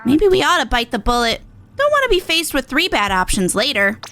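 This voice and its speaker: A woman voicing a cool, level-headed video game guide in a measured, matter-of-fact deadpan. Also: high-pitched, music in the background, exaggerated voice. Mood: resigned.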